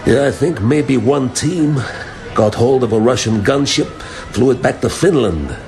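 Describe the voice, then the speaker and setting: Casting: knowing underworld barman, gravelly and unhurried, sardonic, radio drama. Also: medium pitch, expressive, a little mellow. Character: sardonic, world-weary male underworld barman informant.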